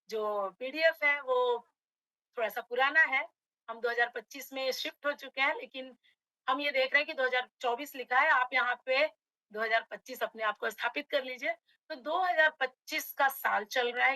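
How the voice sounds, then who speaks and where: A middle-aged woman from Uttar Pradesh presents a motivational webinar with a slightly hoarse throat. Her voice sits high and bright, and the sound is dry and close on a computer mic. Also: no echo, low-quality recording. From Uttar Pradesh, India. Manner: addresses audience as friends, motivational. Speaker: direct selling trainer.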